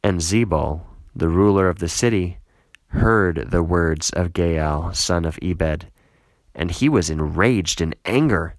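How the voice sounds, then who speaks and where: A man narrating a scripted Bible reading in a measured, solemn way. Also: deep voice, no room sound.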